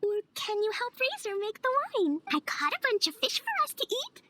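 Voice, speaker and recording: A woman voicing an excitable, cheerful little girl in a video game, bubbly and eager. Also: extremely high pitch, moderate pace, dry recording, full character voice. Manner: bubbly, exclamatory, childlike phrasing. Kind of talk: animated video game voice acting.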